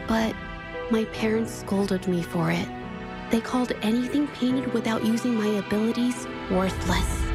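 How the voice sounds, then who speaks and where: A young woman playing an earnest, gentle painter in a game, soft-spoken and measured in her reflective delivery. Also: mid-range voice, lightly acted. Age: young adult. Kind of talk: dramatic game dialogue and reflective narration.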